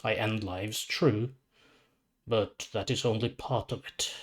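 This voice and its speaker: Storybook psychopomp villain played by a man: ancient, weary, courteous, measured and formal, unbothered. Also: on the low side, steady tone. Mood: calm, unbothered. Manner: measured, formal, matter-of-fact. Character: calm, weary, ancient psychopomp supervillain, death's courteous guide.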